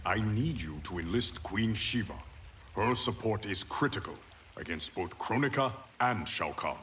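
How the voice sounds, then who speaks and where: An actor playing a noble, stern warrior emperor in a video game, with a formal and regal delivery. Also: male voice, fairly low pitch, lively intonation, normal speed, a bit bright, distant mic, slight background noise. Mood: grave, commanding. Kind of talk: video game cutscene acting.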